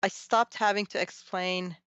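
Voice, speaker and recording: A middle-aged woman from Turkey telling her story conversationally as an interview guest, in an animated, tumbling way with self-interruptions and amused asides. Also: medium pitch, a little slow, clean tone, dry recording. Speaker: interview guest, technology and society researcher.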